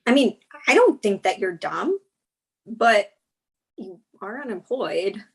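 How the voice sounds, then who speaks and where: A woman plays an exasperated girlfriend in a comedy table read. Her voice is husky yet swings widely in pitch, with blunt, cutting, dismissive delivery. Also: big pitch swings, slow pace, full character voice. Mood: accusing, dismissive. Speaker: actor in a screenplay table read.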